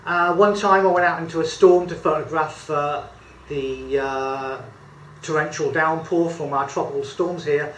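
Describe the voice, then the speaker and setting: A man's voice: a little high, fairly even intonation, unhurried, a bit bright, a little hiss or hum. A man in his fifties with a British accent, giving a casual photography tutorial at a table, talking chattily with lots of ums and uhs. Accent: British English. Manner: chatty, hesitant with many fillers, good-humoured. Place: indoor room at a table. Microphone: camera mic a few feet away. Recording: echoey room with faint background ambience.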